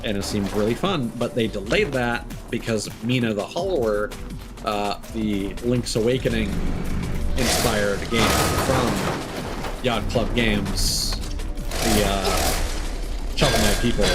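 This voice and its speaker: A man's voice: lowish voice, a little slow, over loud music. A man giving casual, rambling commentary as he streams a video game live.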